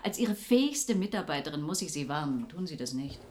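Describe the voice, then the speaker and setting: A senior female office assistant with very lively, swooping intonation. She is businesslike, outspoken and emphatic, in the manner of radio drama. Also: low-pitched, a little fast, slight background noise. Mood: urgent, warning. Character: brisk, outspoken senior female assistant at a gold investment agency. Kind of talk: 1990s German radio drama acting.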